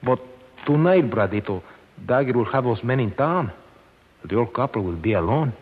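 An actor playing an anxious Mexican sidekick in a 1950s western radio drama. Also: male voice, medium pitch, animated, normal speed, close to an everyday voice. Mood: worried. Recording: muffled, scratchy 1950s radio recording, echoey.

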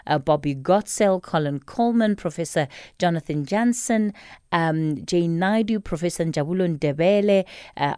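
Woman, South African accent, radio talk host, lower-pitched, measured and emphatic, close dry studio sound. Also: dark tone, clean tone, no room sound. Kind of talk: live radio talk and interview.

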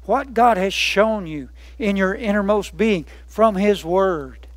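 A man's voice: high-pitched, expressive, takes it slowly, no echo. A man preaching a sermon, emphatic, building lists by repetition and asking rhetorical questions.

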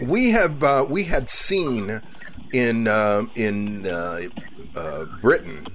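A man from South Texas hosting a radio talk show interview, speaking slowly and hesitantly with many fillers. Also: medium pitch, big pitch swings, fairly bright tone, slight background noise.

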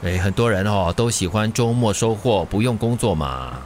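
A man's voice: lowish voice, lively intonation, moderate pace, darkish tone, a little hiss or hum, lightly acted. A man playing a kindly, world-weary older delivery driver in a radio drama, with a Singaporean accent and a chatty, sighing, avuncular manner. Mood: good-natured. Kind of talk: Mandarin radio drama acting.

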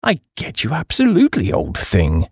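A man voicing a breezy, affable, idle upper-class English gentleman who talks in slang. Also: on the high side, huge pitch swings, normal speed, husky, no room sound, studio quality, exaggerated voice.

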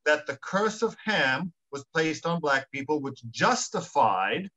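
A man's voice: fairly high pitch, sing-song, measured pace, pushed and bright. A man from South Africa co-hosting a Bible discussion, speaking conversationally and emphatically, repeating words as he goes, on dull lo-fi audio. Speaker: school principal co-hosting a Bible study.

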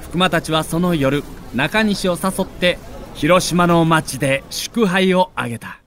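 High-voiced man in dramatic narration, noisy backdrop. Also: measured pace, a bit bright. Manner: theatrical, emotive, emphatic delivery. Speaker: narrator of a baseball audio documentary.

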